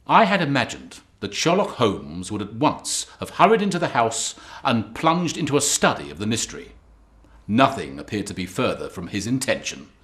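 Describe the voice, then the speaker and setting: A man reading a classic detective story as its first-person narrator, in a deliberate, expressive storytelling manner. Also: on the low side. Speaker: audiobook reader as Dr Watson. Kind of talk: scripted literary narration.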